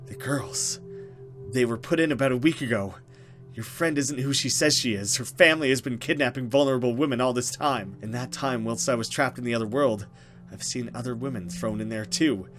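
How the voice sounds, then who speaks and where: A man voicing a frightened, mysterious woman escaped from captivity in a horror game, halting and dramatic. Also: mid-range voice, a bit bright, soft background music, close to an everyday voice. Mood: urgent, warning.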